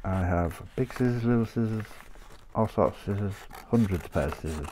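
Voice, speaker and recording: A man's voice: fairly low pitch, a little fast, noisy background. A man with a chesty cough, chatting in a rambling way and laughing often while cutting paper at his craft desk. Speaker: craft channel host. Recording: paper crinkling close by.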